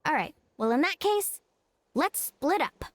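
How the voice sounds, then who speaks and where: A woman's voice: high voice, very animated, unhurried, no echo. A woman playing an upbeat, friendly young athletic woman in a fantasy video game. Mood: helpful, brisk.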